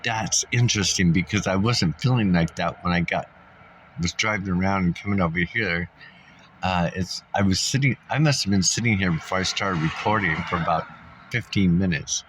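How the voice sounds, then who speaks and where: A man with a very low voice talks on in a loose, wandering monologue, full of ums and restarts. He sounds congested from dust and clears his throat now and then. Faint background ambience sits under the recording. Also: moderate pace, a bit bright, slight background noise. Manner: meandering, thinks aloud with many fillers. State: congested, coughing. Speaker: vlogger. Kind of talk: rambling casual monologue. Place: sitting in a dusty spot, maybe in a car.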